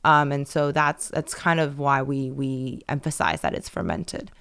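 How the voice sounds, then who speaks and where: A woman's voice: very deep voice, animated, a little slow, gravelly, fairly bright tone, smooth, dry recording. A woman from Taiwan, a brand co-founder, chatting in an interview with many fillers and restarts. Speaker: business owner guest. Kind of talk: casual interview chat.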